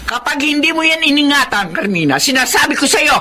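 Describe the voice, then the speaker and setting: A stern middle-aged mother-in-law who scolds in radio drama style. Her high voice rises and falls dramatically, with noise in the background. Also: very animated, a little fast, bright, forceful tone, heavily acted. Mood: angry scolding.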